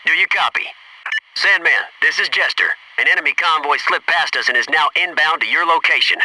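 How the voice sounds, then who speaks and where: Gung-ho Navy SEAL, noisy audio. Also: male voice, fairly high pitch, a little fast, sharp tone. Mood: brisk, businesslike. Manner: clipped military reporting. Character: gung-ho, wisecracking Navy SEAL fireteam soldier. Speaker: game voice actor. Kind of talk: military radio callouts in a video game. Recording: noisy radio-style game audio, slightly distant.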